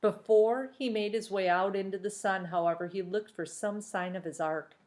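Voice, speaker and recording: A woman with a mid-range voice reads a children's novel aloud. Her delivery is that of an expressive storyteller, with dramatic phrasing that follows the tale. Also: mid-range voice, normal speed. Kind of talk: expressive storybook narration with brief asides to listeners.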